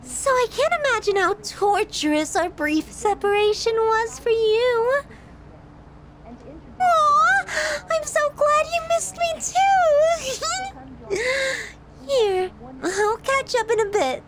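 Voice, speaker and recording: A woman's voice: extremely high pitch, lively intonation, slow pace, a bit bright, a little hiss or hum, heavily acted. A woman playing a possessive, sadistic yandere girlfriend in an ASMR roleplay, doting and cutesy with theatrical affection. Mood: giddy, menacing. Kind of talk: ASMR roleplay, scripted monologue to the listener.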